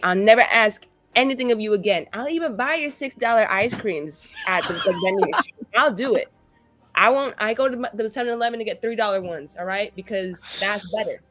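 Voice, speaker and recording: A woman talking casually and playfully as a player on a tabletop roleplay show, with a theatrical, wordy, mock-pleading manner. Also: medium pitch. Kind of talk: casual, playful group chat.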